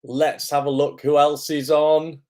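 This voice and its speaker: A man with a hoarse, croaky voice from illness, hosting a casual livestream as an acting coach, greeting viewers and filling pauses with "um". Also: on the high side, little pitch movement, a little mellow. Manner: chatty, lots of "um" fillers, greets viewers by name. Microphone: camera microphone.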